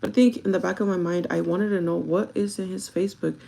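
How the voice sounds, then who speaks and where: A young woman with a gravelly, groggy voice chats casually and directly to her viewers, giving advice in an informal vlog style. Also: lowish voice, steady tone, fast, warm tone, a little hiss or hum. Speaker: vlogger chatting while doing makeup.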